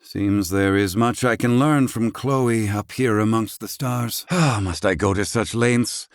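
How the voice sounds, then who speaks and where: An actor voicing a gruff, proud, curmudgeonly older man, a learned doctor in a fantasy video game, with haughty, archaic, curt phrasing. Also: lowish voice, unhurried, dry recording, clean studio sound. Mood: pensive, grudging. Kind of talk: theatrical video game voice acting.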